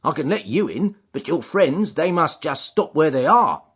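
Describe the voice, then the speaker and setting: A man doing a gruff stubborn porter. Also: a little high, very animated, normal speed, fairly bright tone, pitched above the performer's own voice, exaggerated voice. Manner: blunt and stubborn, with rough dropped grammar. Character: gruff, stubborn burly male porter and ex-boxer. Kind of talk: audiobook character voice. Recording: dull lo-fi audiobook recording.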